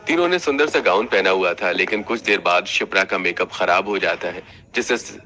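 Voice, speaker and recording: Forceful low-voiced man narrating a story. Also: brisk. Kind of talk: scripted Hindi cartoon story narration.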